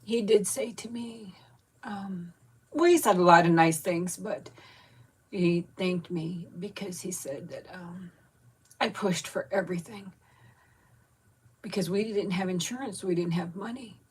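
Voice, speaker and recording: Woman in her fifties, fairly low voice, casual live chat, grieving, rambling and candid. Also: husky. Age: 50s. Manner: candid, rambling, with soft laughs and trailing pauses. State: grieving, close to tears. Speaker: vlogger chatting with live viewers.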